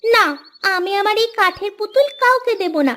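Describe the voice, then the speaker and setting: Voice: very high-pitched, normal speed, pushed and bright. A performer voicing a defiant little village girl with an indignant, child-like delivery in a cartoon. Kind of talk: cartoon voice acting.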